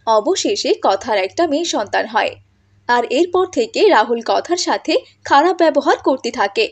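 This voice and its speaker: A woman's voice: fairly high pitch, lively intonation, normal speed. A woman reading scripted narration for a cartoon moral story.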